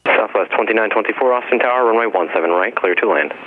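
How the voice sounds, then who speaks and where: A man's voice: on the low side, fast. A man working as an air traffic controller, giving terse, businesslike procedural instructions over aviation radio. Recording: narrow, noisy aviation radio transmission.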